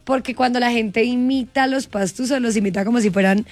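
A woman's voice: a little high, brisk, no room sound. A Colombian woman co-hosting a radio show, playful and mock-indignant in banter. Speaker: radio co-host. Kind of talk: joking call-in radio banter.

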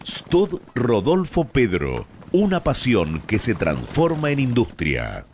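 A man's voice: mid-range voice, huge pitch swings, takes it slowly, darkish tone, slight background noise. A man reading a polished radio commercial voiceover. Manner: polished promo read. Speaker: ad announcer.

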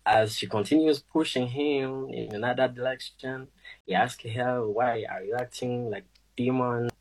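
Husky-voiced Burundian man, halting. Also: mid-range voice, rough recording. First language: Kirundi. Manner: slow, hesitant, halting English with many "uh" fillers. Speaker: musician guest. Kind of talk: radio interview answers.

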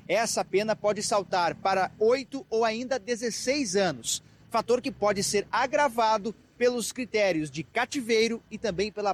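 A man gives a scripted news report. His voice is notably high for a man. There is faint background noise behind him.

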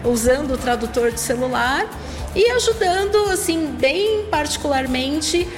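A woman's voice: sits high, animated, a little slow, big-room sound, music in the background. A woman school vice-principal answering interview questions, with repeated restarts and frequent fillers. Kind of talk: interview soundbite.

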